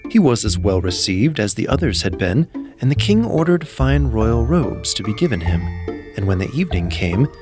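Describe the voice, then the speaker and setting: A man narrating a classic fairy tale from a script in a measured storyteller's manner. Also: medium pitch, very animated, music in the background.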